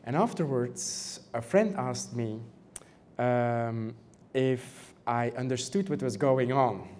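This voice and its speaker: Male European-accented academic panelist, mid pitch, measured and full of "uh" fillers, faint background noise. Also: darkish tone. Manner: measured, many "uh" and "um" fillers, rhetorical questions. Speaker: panelist, canon law professor. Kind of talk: conference panel talk.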